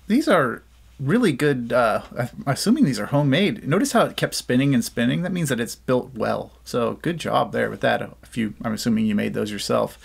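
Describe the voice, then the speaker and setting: A man's voice: medium pitch, gravelly, husky. A man in his thirties from the United States, hosting a casual reaction video at a desk mic, upbeat and full of praise. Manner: upbeat and enthusiastic, quick to praise. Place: small indoor room at a desk. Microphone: desk condenser mic.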